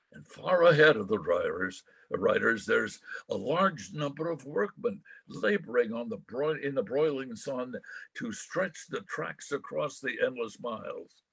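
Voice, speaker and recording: A dramatic announcer, voiced by a man narrates an old-time radio western in grand, measured phrases. The voice is gravelly and a little worn, with a husky edge. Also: medium pitch, a bit bright, full character voice.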